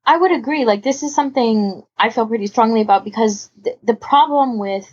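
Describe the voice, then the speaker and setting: A woman's voice: a little high, brisk, husky. A woman chatting casually about a hobby as a podcast panelist, rambling and emphatic, often rephrasing herself mid-sentence. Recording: muffled lo-fi voice recording.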